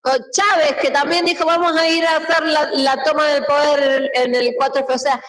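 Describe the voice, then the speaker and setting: High-voiced Argentine woman speaking from afar. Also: little pitch movement, normal speed, fairly bright tone, slight background noise. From Mendoza, Argentina. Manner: rhetorical questions, emphatic repetition. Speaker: book presenter, political speaker. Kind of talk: impassioned political talk to an audience. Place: indoor public book presentation. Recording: roomy event hall, distant microphone.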